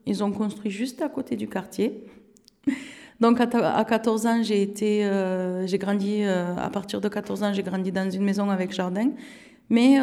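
This is a woman in her thirties, originally from Turkey, whose first language is Turkish. She speaks slowly with a mellow, rounded tone in the middle pitch range. Her talk is halting, full of fillers and restarts. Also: gravelly. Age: 30s. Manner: hesitant, many fillers and restarts. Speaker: interviewee. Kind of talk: casual recollection in an interview.

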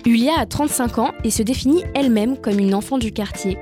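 A woman's voice: on the high side, fairly quick. A woman reading a scripted documentary narration. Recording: faint music underneath.

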